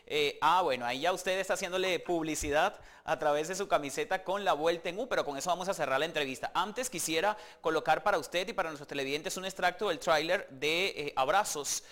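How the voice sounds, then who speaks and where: Tv interviewer guy, bit high voice, formal wordy way of talking. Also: a little fast, few pauses, a bit bright. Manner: formal, elaborate phrasing.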